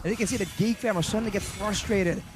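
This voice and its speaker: A man giving energetic analysis as color commentator on an esports match. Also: high voice, quick pace, rough recording.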